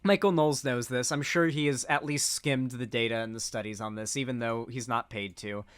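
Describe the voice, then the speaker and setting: A man's voice: medium pitch, fairly even intonation, fairly quick, smooth, no room sound. Rambling male commentator, dry close sound. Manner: rambling, many fillers and restarts. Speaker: political commentator. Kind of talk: casual commentary reacting to clips.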